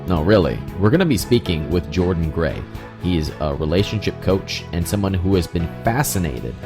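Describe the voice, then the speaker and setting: A man hosting a podcast, giving an upbeat motivational intro and then interviewing his guest. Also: sits low. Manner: upbeat, motivational, laughs easily. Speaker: podcast host.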